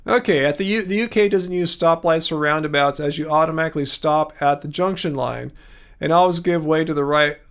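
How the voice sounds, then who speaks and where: A man's voice: fairly high pitch, a little fast, a bit bright, clean tone. A man with a Californian American accent hosting a casual livestream, rambling and easygoing as he replies to viewers. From California, United States. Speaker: livestream host. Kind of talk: casual livestream chat, replying to viewer comments.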